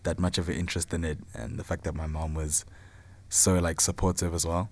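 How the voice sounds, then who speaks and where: A man's voice: low-pitched, level tone, a little fast. A South African man in his twenties, talking casually about himself as an interview guest. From Zimbabwe and South Africa. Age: 20s. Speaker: podcast guest, film student and photographer.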